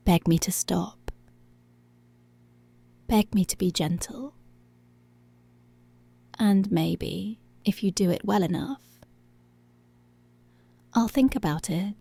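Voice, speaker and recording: Casting: actress for dominant, seductive policewoman in ASMR roleplay. Unhurried menace, gravelly fry, teasing commands that drop to a whisper. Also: fairly low pitch, warm tone, full character voice. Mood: possessive, teasing. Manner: commanding, seductive, drawn-out taunting, slipping into whispers. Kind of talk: intimate ASMR roleplay, slow and breathy.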